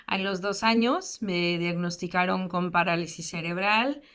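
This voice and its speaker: A woman's voice: lowish voice, a little slow, a bit bright, smooth. A woman in her thirties, raised in the United States, telling her life story as a guest speaker in a candid, self-deprecating way with many fillers. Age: 30s. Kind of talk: personal testimony in a lecture.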